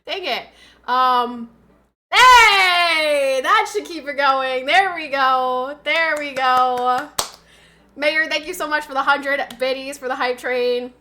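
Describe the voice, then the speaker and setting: A young woman with a nasal voice, stuffed up by a cold, talking to her viewers in a bright, slightly high register. She drifts loosely from story to story, packing her sentences with fillers and restarts. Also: slow. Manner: rambling, filler-heavy storytelling. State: has a cold, stuffy sinuses. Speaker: streamer. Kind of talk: casual livestream chat.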